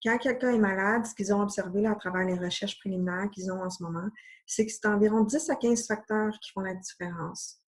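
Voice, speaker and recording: Breathy Québécoise woman in her forties. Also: medium pitch, brisk. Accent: Québécois French. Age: 40s. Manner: earnest, many hesitations. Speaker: health coach. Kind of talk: casual explanatory talk to camera.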